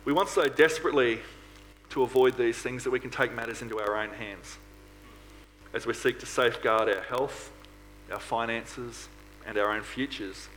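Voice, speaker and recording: A man delivers an earnest church sermon in a mid-pitched voice, posing rhetorical questions as he goes. The recording carries some background noise and a hum. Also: normal speed, a bit bright, poor audio. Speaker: preacher at a church. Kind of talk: sermon to a congregation.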